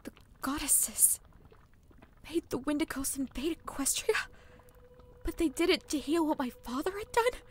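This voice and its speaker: A woman's voice: high voice, animated, measured pace, pauses often, a little hiss or hum. A woman voicing a young female demon pony heroine in a fan audio drama, earnest and anxious. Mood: puzzled. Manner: anxious and earnest, often bursting out. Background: dramatic music.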